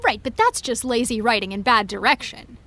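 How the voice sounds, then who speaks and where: A woman's voice: a little high, fairly quick, pushed and bright. An actress playing an upset, indignant young female fan in an audio drama, emphatic and sarcastic with her friend. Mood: defensive. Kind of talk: naturalistic audio drama acting.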